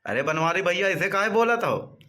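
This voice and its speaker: A man's voice: sits high, expressive, moderate pace, bright, forceful tone. A man voicing a naive, whining village uncle in a comic cartoon, with an Awadhi accent. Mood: puzzled.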